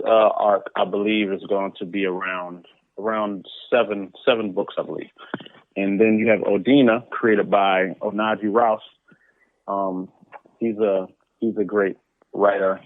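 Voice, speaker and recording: A male interview guest with a lowish voice, heard over a narrow phone-like line with no room sound. His delivery is casual and conversational. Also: no room sound. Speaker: interview guest, comic book creator. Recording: dry, tinny phone-like line.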